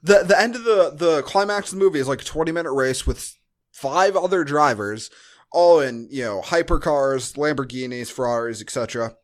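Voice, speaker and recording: A man with a voice a little on the high side hosts a podcast. He recaps and reviews in a loose, conversational style, as if chatting with a friend. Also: animated, brisk. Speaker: podcast host. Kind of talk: casual movie-review chat.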